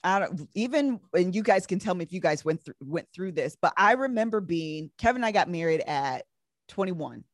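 Animated woman in her twenties, dry mic. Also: on the low side, moderate pace, no room sound. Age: 20s. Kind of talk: casual chat.

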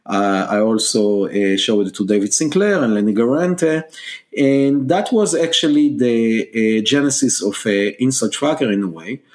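A man's voice: medium pitch, expressive. Israeli-accented man, hesitant with fillers. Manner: measured, hesitant, many "uh" fillers. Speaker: scientist and company co-founder, guest. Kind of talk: podcast interview.

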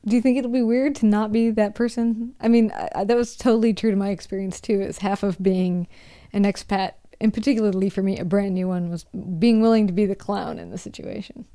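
A woman hosts a podcast interview, asking curious follow-up questions in a casual tone. Her voice is warm and mellow, at an ordinary pitch for a woman. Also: fairly even intonation, brisk.

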